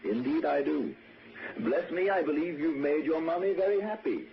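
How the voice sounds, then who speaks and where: Kindly formal father, expressive intonation, deliberate, probing, 1960s radio suspense, muffled vintage recording. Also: fairly high pitch, vocal fry. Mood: delighted. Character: genteel, reassuring father and confidant, probing kindly. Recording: muffled old radio drama with faint noise.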